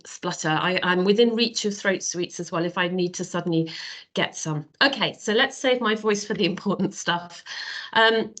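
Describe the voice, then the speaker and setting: A woman's voice: medium pitch, bright, forceful tone. A woman with a cold and a nasal voice, giving a professor's presentation on a video call webinar.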